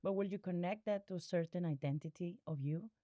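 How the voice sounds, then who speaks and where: A woman hosts the conversation with a soft, encouraging manner, murmuring along as her guest speaks. Her voice is mellow and warm, and it sounds close and dry. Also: on the low side, brisk, no room sound, muffled recording. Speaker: podcast host. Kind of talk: interviewer asking reflective questions.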